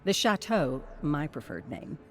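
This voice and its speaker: Composed lady explorer reading her journal in a game, pretty deep voice, matter-of-fact, soft music under it. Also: very animated, a little slow. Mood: composed, reflective. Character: composed woman expedition leader reading her dated journal. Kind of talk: scripted dramatic journal narration. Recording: music behind the voice.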